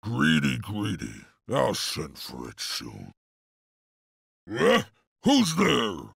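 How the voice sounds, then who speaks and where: A man's voice: lowish voice, wildly swooping pitch, extremely slow, vocal fry, a bit bright, slightly rough, no echo, clean studio sound, full character voice. A man voicing a cruel, bullying taskmaster in a video game, sneering and domineering as he gives orders. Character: cruel, bullying serpent-clan slave taskmaster. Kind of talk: theatrical video game villain acting.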